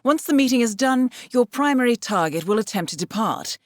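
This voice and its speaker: An actress playing a composed, coolly professional intelligence handler who guides an assassin in a video game, measured and dryly wry. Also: female voice, a little high, animated, dry recording, clean studio sound, close to an everyday voice. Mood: composed, businesslike. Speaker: mission handler. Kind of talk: scripted video game mission briefing.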